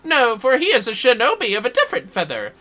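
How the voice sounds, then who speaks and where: A flamboyant shinobi villain from a game, acted out by a woman, taunts with grand theatrical relish. His voice rides high and swings widely in pitch, with a bright, forceful edge. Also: wildly swooping pitch, quick pace, heavily acted. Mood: mocking. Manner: grandiose, taunting, talks in third person. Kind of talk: theatrical game dialogue read aloud.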